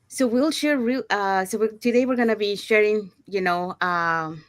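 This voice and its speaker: An upbeat woman from Panama hosts a business livestream over a video call, chatting along with plenty of fillers and restarts. Her voice is mid-pitched and sits dry and close, with no room around it. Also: moderate pace, no echo. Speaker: host of an online business livestream. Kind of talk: presenting a live webinar promo.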